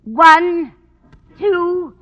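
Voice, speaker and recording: A woman's voice: very high-pitched, extremely slow, a bit bright, full character voice. An actress playing a cheery, scatterbrained older landlady with a fluttery hostess manner, in old radio comedy style on a noisy, dull recording. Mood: cheery, announcing. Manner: fluttery, hostess-like, absent-minded. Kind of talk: 1940s-50s radio comedy acting.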